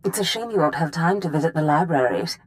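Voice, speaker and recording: A woman playing a scheming Sith mistress in a video game, speaking with silky, measured formality. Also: deep voice, lively intonation. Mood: gracious, coaxing. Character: scheming Sith lord mistress, gracious and coaxing. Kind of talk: scripted video game dialogue.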